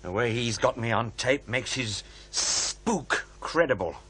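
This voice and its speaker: A man's voice: medium pitch, animated, a little slow, a bit bright, breathy edge. An actor playing a combative, bitter male writer who feels cornered, in a radio drama. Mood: bitter, resigned. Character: embittered, combative male author, feeling cornered.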